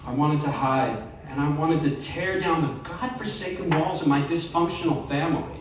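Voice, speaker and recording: A man giving a live reading of a personal story to an audience, earnest and sometimes slowing to a hushed tone. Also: a little high, a little hiss or hum. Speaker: story reader. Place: live reading event in a bar. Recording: echoey room, distant mic.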